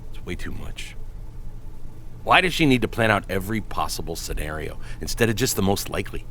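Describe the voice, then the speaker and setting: A man playing a weary, gruff but dutiful starship captain in a science fiction audio drama, with a dry, grumbling manner. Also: low-pitched, expressive, a little fast, bright, forceful tone, a little hiss or hum, full character voice.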